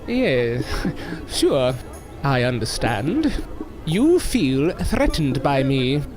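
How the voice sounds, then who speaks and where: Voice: mid-range voice, big pitch swings, extremely slow, over music. A performer playing a proud but polite circus newcomer in an audio drama, with an earnest, formal and sometimes hesitant manner. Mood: wry.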